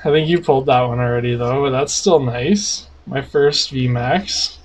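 A man's voice: mid-range voice, normal speed. A man in his thirties from Canada, co-hosting a card-opening video at home, joking and reading out card names. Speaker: card-opening channel co-host. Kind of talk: casual chat while opening cards. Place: home room with cards on a table. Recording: noisy home camera recording.

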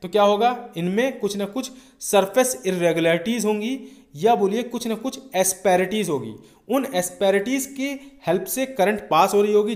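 A man with an Indian accent and a notably high voice delivers an explanatory lecture for exam students. He is didactic, going through each point step by step and regularly checking that listeners follow. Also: darkish tone. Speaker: engineering lecturer.

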